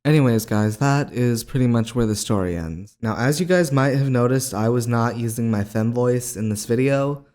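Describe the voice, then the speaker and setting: A man's voice: mid-range voice, moderate pace, hardly any pauses, darkish tone. A young adult man with a scratchy voice, casually telling a story as a video host in a slangy, conversational manner full of asides. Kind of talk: casual storytelling to camera audience.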